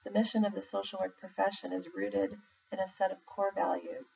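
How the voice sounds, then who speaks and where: A woman reading a formal document aloud in a measured, deliberate way, pausing between phrases. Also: mid-range voice, fairly even intonation, creaky, a little mellow. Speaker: reader, social worker in exam preparation. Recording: muffled, distant mic with faint background noise.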